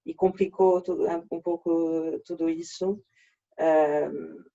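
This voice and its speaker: A man with a French accent, native French speaker, presenting an online workshop in a halting way with frequent restarts, over a video call. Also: a little high, flat delivery, gravelly, mellow. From France. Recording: dull lo-fi video call audio.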